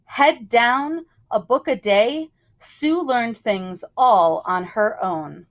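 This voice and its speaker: A woman with a slightly high voice reads a picture book aloud for children. Her pace is very slow, and she sets phrases apart with deliberate pauses. The delivery is that of measured storytelling.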